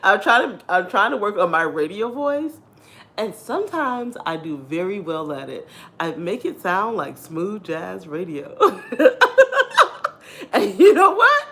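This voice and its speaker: A woman in her thirties with a deep, husky voice, talking candidly to her viewers in a casual chat and laughing often. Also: sing-song, a little slow, a bit bright. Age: 30s. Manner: chatty and candid, laughs often, addresses viewers as "girl" and "y'all". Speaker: vlogger.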